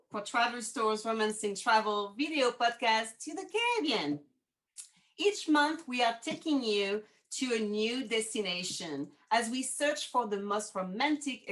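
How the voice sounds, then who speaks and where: A woman's voice: fairly high pitch, fairly bright tone. A middle-aged woman with a French accent, hosting and presenting a prepared travel video podcast. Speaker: podcast host, travel company team manager.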